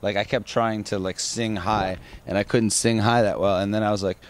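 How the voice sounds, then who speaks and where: A man's voice: fairly low pitch, steady tone, moderate pace, slight background noise. A man, singer of a rock band, answering interview questions in a rambling, casual way with many restarts and fillers.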